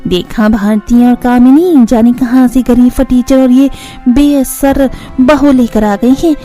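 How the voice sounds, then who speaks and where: A woman's voice: high-pitched, steady tone, measured pace, dark tone, faint music behind. A woman playing a spiteful, jealous neighbourhood gossip in a cartoon family story, sneering and catty.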